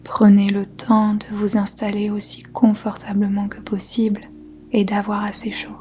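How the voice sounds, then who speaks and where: A woman guiding a yoga nidra meditation in a soft, breathy, whispery voice, calmly and in measured short phrases with gentle pauses. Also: mid-range voice. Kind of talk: guided meditation, slow soothing instruction. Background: faint music.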